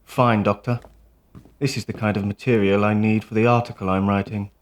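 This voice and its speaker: A man's voice: lowish voice, flat delivery, brisk, natural voice. A man playing a sober, polite magazine writer in a vintage audio drama.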